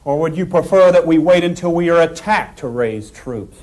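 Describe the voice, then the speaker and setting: An actor playing a forceful eighteenth-century American convention delegate, arguing formally and insistently in a period drama. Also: male voice, on the high side, lively intonation, moderate pace, close to an everyday voice. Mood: indignant, firm. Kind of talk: period stage-like debate acting.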